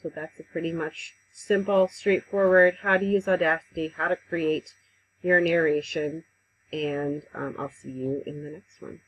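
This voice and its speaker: A woman giving a casual, conversational software tutorial over a headset mic, with frequent ums. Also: sits low, steady tone, normal speed, highs cut off, slight background noise. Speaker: instructor. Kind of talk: screen-recorded software tutorial.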